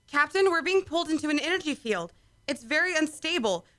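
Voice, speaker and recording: A woman plays an earnest young ensign at a shuttle's controls in a sci-fi radio drama. Her voice is very high, and she delivers formal, dutiful military reports. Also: expressive, brisk, sharp tone. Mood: alarmed. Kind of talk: science fiction radio play acting.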